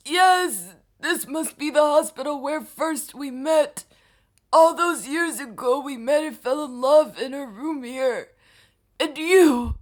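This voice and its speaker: A woman playing a tormented male hospital patient in a horror manga dub, breathy and whispery, with a brooding, dramatic delivery. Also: high-pitched, fairly bright tone, exaggerated voice. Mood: frantic, delusional.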